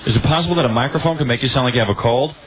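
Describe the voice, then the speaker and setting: A man's voice: medium pitch, racing. A man hosting morning radio, talking in a brash, sarcastic, self-absorbed way, his voice slightly nasal. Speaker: radio show host. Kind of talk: casual morning radio banter. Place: crowded event room with radio stations. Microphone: studio microphone. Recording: dull lo-fi broadcast tape with faint room noise.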